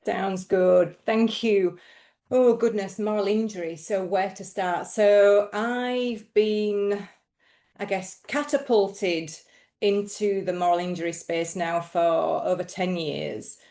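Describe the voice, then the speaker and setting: A woman's voice: mid-range voice, steady tone, takes it slowly, warm tone. A woman from England, explaining her field earnestly as a podcast guest. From Northern England. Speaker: guest expert. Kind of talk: podcast interview. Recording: roomy echo.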